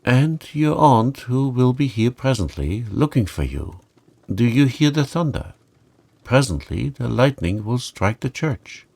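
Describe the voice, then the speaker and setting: Man playing solemn statue child, storybook tale, grave, gentle, very slow, slightly creaky. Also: medium pitch, expressive, voice pushed up. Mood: grave, foreboding. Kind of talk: storybook character reading.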